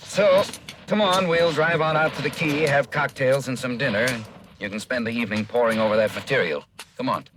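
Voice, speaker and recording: An actor playing a harried, folksy American insurance manager in a 1950s radio drama, talking in a conversational way. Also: male voice, mid-range voice, fairly quick, loud music behind the voice, lightly acted. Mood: hospitable. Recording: noisy, clicking vintage radio recording.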